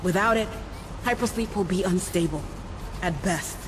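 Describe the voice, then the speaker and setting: An actor playing a practical ship engineer in a video game cutscene, talking in a plainspoken, wry, reassuring way. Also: male voice, sits high, moderate pace, natural voice. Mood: matter-of-fact. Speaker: chief engineer. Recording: game audio with ambient noise.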